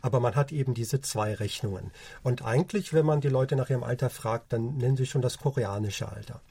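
Husky man in his forties, calm. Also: medium pitch, a little fast, dry recording. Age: 40s. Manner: calm, explanatory, many hesitations. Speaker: podcast co-host. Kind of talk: casual podcast chat.

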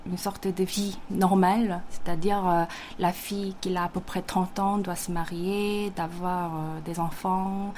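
Young Korean-accented woman, comic artist guest, halting careful answers with frequent pauses. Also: lowish voice, level tone, a little mellow, slight background noise. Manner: hesitant, frequent fillers, careful non-native phrasing. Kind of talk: interview answers.

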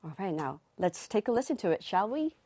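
A woman's voice: medium pitch, very animated, fairly quick, warm tone, no echo. A woman DJ hosting a live radio show in the studio, reading out listener messages in a bubbly, nervous way on her first broadcast.

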